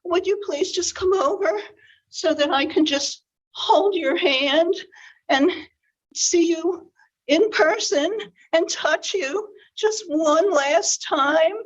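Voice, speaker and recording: A woman's voice: extremely high pitch, slow pace, voice pushed up. A woman voicing a sly male wolf pretending to be a sick old grandmother, with a quavering, falsely pitiful voice. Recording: dull lo-fi recording.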